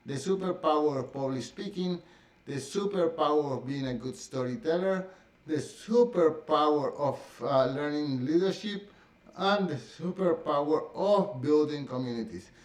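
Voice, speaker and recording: A middle-aged man who speaks English as a second language, Spanish being his first, talking casually to camera. His voice sits a bit high for a man, and he stumbles through fillers and restarts. The room around him is echoey. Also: a little mellow. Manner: halting, many fillers and restarts. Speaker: vlogger.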